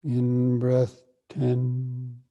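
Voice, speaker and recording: A man guiding a breathing meditation, speaking softly and evenly with long pauses between phrases. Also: medium pitch, highs cut off. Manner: slow, soft and even, with long pauses. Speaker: meditation teacher.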